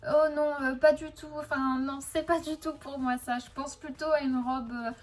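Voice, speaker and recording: A woman's voice: high voice, steady tone, lightly acted. A woman voicing a hesitant middle-aged flight attendant. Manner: hesitant, many filler pauses.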